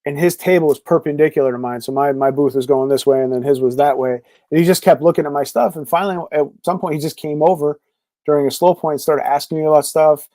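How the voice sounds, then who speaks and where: A man's voice: on the high side, fast talker, mellow, dry recording. A man being interviewed as a podcast guest, talking casually and rambling with lots of fillers. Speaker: podcast guest, comic creator.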